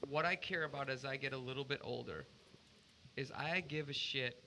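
A man's voice: medium pitch, moderate pace, background noise. A man co-hosting a casual podcast, talking earnestly and emphatically with plenty of swearing. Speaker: podcast co-host.

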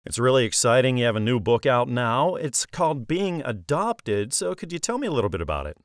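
A man's voice: mid-range voice, big pitch swings, fairly quick, no room sound, clean studio sound. A man hosting an author podcast, with a polished radio-style delivery. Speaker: podcast host. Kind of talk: interview host, scripted intro.

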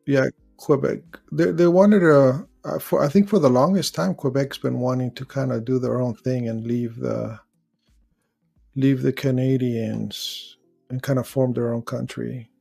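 Gravelly voiced guy hosting a live stream, rambles and hunts for words, reads out chat. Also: mid-range voice, fairly even intonation, a little slow, warm tone, faint music behind. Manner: reads out viewer comments. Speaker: host of a live call-in show.

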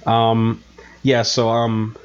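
A man's voice: medium pitch, completely flat delivery, extremely slow, clean tone, highs cut off. A man with a head cold and a nasal, stuffed-up voice, co-hosting a podcast in casual, rambling chat full of fillers. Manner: rambling, self-mocking, many fillers. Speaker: podcast co-host.

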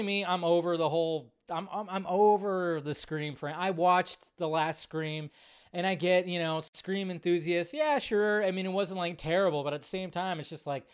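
Clear-voiced, higher-pitched American man, sardonic and rambling. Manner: sardonic, blunt, sweary, with drawn-out emphasis. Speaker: host of a live movie news show. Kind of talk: casual, rambling livestream commentary on entertainment news.

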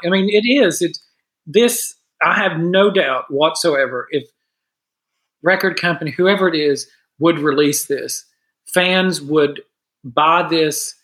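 Middle-aged guy, kinda high voice, earnest and halting, lots of uhs, podcasting over a video call. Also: a bit bright. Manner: earnest, hesitant with many uh fillers and restarts. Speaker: podcast co-host. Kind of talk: casual chat.

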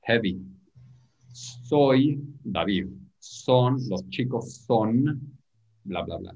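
A man teaching French pronunciation in an online group class, patiently repeating words slowly for learners. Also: medium pitch, lively intonation, a little mellow, highs cut off.

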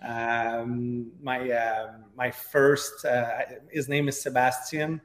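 A man's voice: medium pitch, level tone, slow, fairly bright tone. Middle-aged Quebec French man, echoey room. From Quebec, Canada. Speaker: podcast guest, real estate agent. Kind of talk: conversational interview storytelling.

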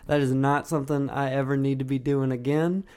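A man's voice: mid-range voice, level tone, a little fast, darkish tone, smooth. A man co-hosting a podcast, telling a crude, self-mocking story in casual chat. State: sore and recovering from illness. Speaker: podcast co-host.

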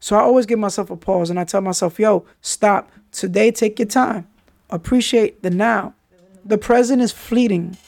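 A man's voice: high-pitched, moderate pace, creaky, dry recording, studio quality. A man, hosting a podcast and telling his life story candidly in street slang, often saying "yo" and "you understand?". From New York City. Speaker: podcast host.

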